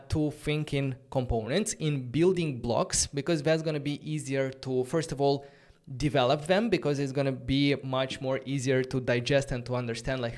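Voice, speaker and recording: A man whose first language is Romanian teaches a coding tutorial on a live stream, thinking aloud in a casual way with many fillers and restarts, and stops to answer viewers' chat questions. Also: medium pitch. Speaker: coding instructor.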